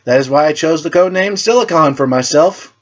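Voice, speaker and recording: A man voicing an eager, proud preteen boy inventor with an excitable manner in a superhero story. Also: a little high, a little fast, muffled recording, voice pushed up, natural voice. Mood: proud, defensive.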